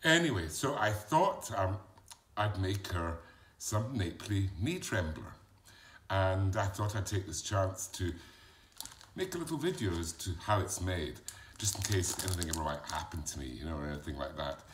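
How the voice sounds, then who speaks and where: A man's voice: deep voice, lively intonation, moderate pace, a little hiss or hum. A man playing a chatty middle-aged bloke with a mixed Yorkshire and Glaswegian accent, filming a cooking video in a home kitchen and rambling off into gossip and stories. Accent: Yorkshire with Glaswegian mix. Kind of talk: comic character monologue.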